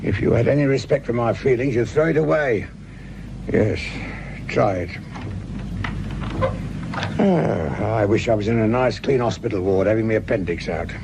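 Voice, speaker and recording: An actor playing a grumbling, sardonic, corner-cutting British police sergeant in a radio drama, full of world-weary asides. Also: male voice, lowish voice, moderate pace, creaky, pauses often, background noise.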